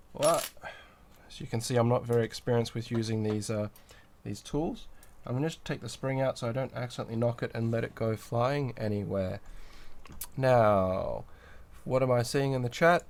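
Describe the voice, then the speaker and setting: A man's voice: medium pitch, normal speed, vocal fry, pauses often, darkish tone. A man hosting a livestream with a cold and sore throat, sounding nasal as he chats casually, greets viewers and reads out the chat while he builds a kit. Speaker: livestream host.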